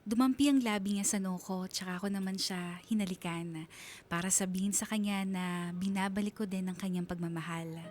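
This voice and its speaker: A woman's voice: medium pitch, fairly even intonation, fairly quick, a little mellow, slight background noise, close to an everyday voice. An actress playing a sentimental married mother with a Filipino accent, narrating her own love story in a heartfelt, reflective way for a radio drama.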